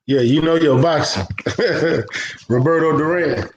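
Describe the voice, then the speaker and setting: A man hosting an interview show, asking questions in a relaxed, casual way with fillers. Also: fairly high pitch, measured pace, reverberant, a crowd in the background, low-quality recording. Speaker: host.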